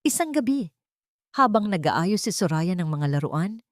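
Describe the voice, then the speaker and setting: A woman's voice: on the low side, big pitch swings, a little slow, no echo, studio quality. A woman narrating a scripted story in a dramatic style.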